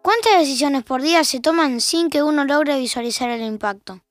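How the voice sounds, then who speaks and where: An Argentine actress playing the wry, all-knowing narrator of a scripted domestic audio drama. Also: female voice, sits high, normal speed, no echo, natural voice. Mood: wry, observant. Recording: music bed behind a dry studio voice.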